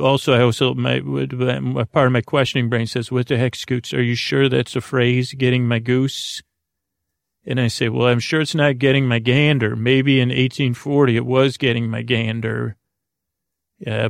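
A man hosting a bedtime podcast in a creaky, lulling voice, meandering haltingly from one tangent to another. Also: mid-range voice, level tone, no echo, clean studio sound. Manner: lulling, soothing. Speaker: podcast host. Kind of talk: rambling bedtime sleep podcast monologue.

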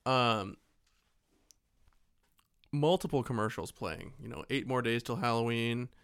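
A man co-hosting a podcast, casually retelling a film in a joking, animated way and happy to swear. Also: medium pitch, measured pace, creaky, clean tone, no room sound, clean studio sound. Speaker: podcast co-host. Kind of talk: casual film recap chat.

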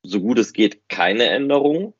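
A man's voice: medium pitch, lively intonation, moderate pace, breathy edge, no room sound, dull audio. A man co-hosting a podcast in casual conversation, explaining things informally and often tagging questions onto his sentences. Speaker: podcast co-host. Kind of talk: casual podcast chat about a hobby project.

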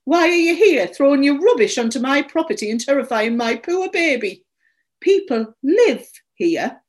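A woman voicing a distraught, indignant story character, shrill, accusatory and pleading over her lost dog. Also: sing-song, measured pace. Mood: angry, accusatory.

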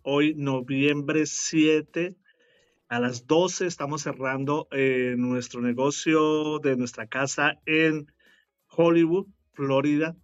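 A man's voice: medium pitch, dull audio. A man speaking in a short, happy video greeting, announcing news.

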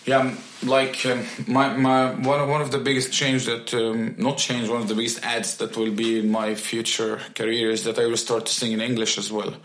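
A young musician, a man, answering interview questions in halting English with many restarts. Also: medium pitch, flat delivery, a bit bright, echoey room, slight background noise, rough recording. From Egypt. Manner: halting, with frequent restarts and fillers. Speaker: musician guest.

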